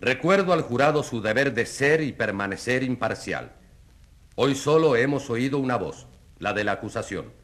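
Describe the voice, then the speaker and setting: An actor playing a formal, impartial tribunal judge in a radio drama, speaking solemnly. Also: male voice, medium pitch, expressive, normal speed, a bit bright, lightly acted. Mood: solemn, admonishing. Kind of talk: dramatic radio play acting.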